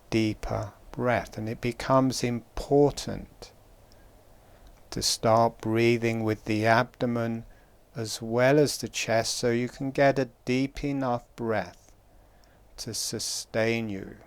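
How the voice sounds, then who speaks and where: A man guiding a breathing meditation, giving calm, gentle instructions with long pauses. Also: medium pitch, gravelly, clean tone. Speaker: meditation guide.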